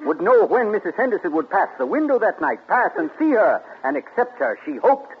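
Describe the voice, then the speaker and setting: An actor playing a suave, gloating male amateur sleuth in 1940s radio acting, theatrical and deliberate. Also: high voice, big pitch swings, narrow, tinny audio. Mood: triumphant. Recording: scratchy 1940s radio broadcast.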